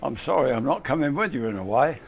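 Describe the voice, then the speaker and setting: A man's voice: mid-range voice, sing-song, fast. A man with an English accent playing a cheeky, crude working-class store cleaner in a radio sitcom. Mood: smug, cheeky. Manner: cheeky innuendo, irreverent. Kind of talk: broad radio sitcom acting. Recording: amateur radio play, clear background noise, clicks.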